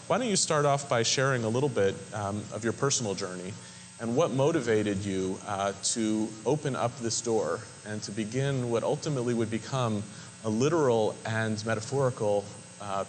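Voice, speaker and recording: A man acting as moderator, giving a measured, articulate introduction with frequent ums. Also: fairly low pitch. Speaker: moderator and interviewer. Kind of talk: public talk introduction. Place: large synagogue hall. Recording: long hall reverb, faint noise.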